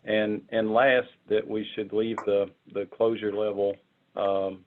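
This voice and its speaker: A man informally presenting on a conference call, explaining step by step in a halting way and often repeating words. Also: lowish voice, flat delivery. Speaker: committee member presenting proposals. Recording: muffled narrow phone-like conference line.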